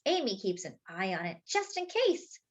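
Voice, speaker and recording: A woman's voice: medium pitch, big pitch swings, normal speed, muffled recording, a little hiss or hum. A woman hosting story time, reading a picture book expressively for young children, stretching key words and adding simple explanatory asides.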